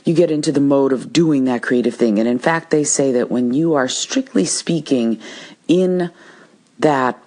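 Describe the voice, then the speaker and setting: A woman with a husky voice hosting a solo podcast, talking through practical advice in a conversational, reflective way. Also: extremely low pitch, a little slow, mellow. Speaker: podcast host.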